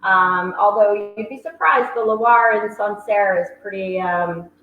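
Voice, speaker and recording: A woman's voice: mid-range voice, measured pace. A woman hosting a virtual wine tasting, chatty and full of ums. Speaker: wine shop host. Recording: roomy, dull sound with faint hiss.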